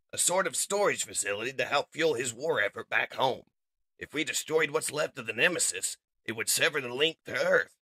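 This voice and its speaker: A man's voice: mid-range voice, pushed and bright, dry recording. A man playing a pragmatic robot scientist who explains things matter-of-factly in a comic dub.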